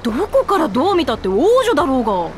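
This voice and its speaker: A woman voicing a brash, tomboyish young princess in a game, speaking in a rough, boyish way. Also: high voice, huge pitch swings, fast talker, darkish tone, a little hiss or hum. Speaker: princess character in a game cutscene.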